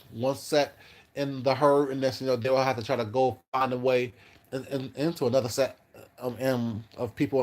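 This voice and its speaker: A man hosting a casual livestream, rambling through his thoughts, repeating words and greeting viewers by name. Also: mid-range voice. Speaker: YouTube livestream host. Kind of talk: casual livestream chat reading comments.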